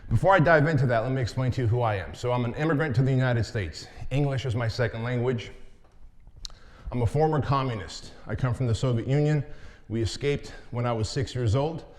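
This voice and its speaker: A man's voice: medium pitch, fairly quick. A man in his forties giving a motivational talk, telling his life story in a candid, conversational way. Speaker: motivational speaker. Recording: long hall reverb.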